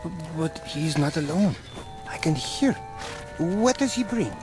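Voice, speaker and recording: A man's voice: on the high side, lively intonation, a little slow, over music. An actor playing an inquisitive blind villager in a radio drama, hesitant and stammering as he questions. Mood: anxious, curious.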